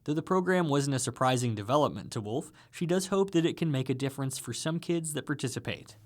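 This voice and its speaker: A man's voice: medium pitch, lively intonation, fast talker. A man narrating a scripted radio feature as a reporter.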